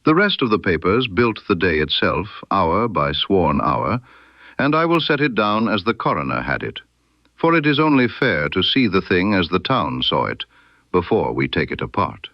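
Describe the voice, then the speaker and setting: Deep-voiced man reading a literary mystery. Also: very animated, no echo, muffled recording. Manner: measured, formal storytelling delivery. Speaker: audiobook narrator. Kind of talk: scripted literary mystery narration in Victorian first person.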